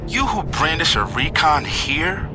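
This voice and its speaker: Actor as a cocky, sardonic hacker over loud music. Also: male voice, medium pitch, moderate pace, natural voice. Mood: accusing, sardonic. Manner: brash, chatty, sardonic. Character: cocky, foul-mouthed male hacker, cynical and scheming. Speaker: video game voice actor. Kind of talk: dramatic game cutscene dialogue.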